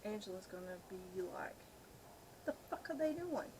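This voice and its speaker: A woman's voice: mid-range voice, expressive, moderate pace. Woman just chatting and joking around, kinda crude, noticeable noise on the home recording. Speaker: co-host of amateur comedy video. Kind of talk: casual chat.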